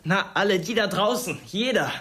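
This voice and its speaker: A man's voice: high-pitched, expressive, moderate pace, fairly bright tone. An actor playing a sullen, insecure teenage boy in a naturalistic German radio drama, defiant yet hesitant, answering tersely. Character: sullen, insecure teenage boy school shooter craving fame.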